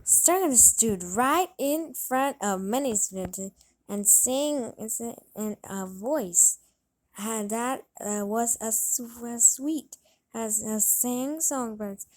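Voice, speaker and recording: A woman reading a children's story aloud, in a halting way with stumbles and repeated words. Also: on the high side, lively intonation, poor audio. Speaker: storybook reader. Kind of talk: children's read-along narration.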